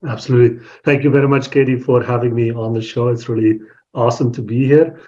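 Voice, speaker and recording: A man's voice: mid-range voice, steady tone, fast, mellow. Podcast guest, man in his 40s from Belgium, thoughtful and searching for words, slightly dull lo-fi audio. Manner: thoughtful, restarts often while searching for words. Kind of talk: casual interview chat.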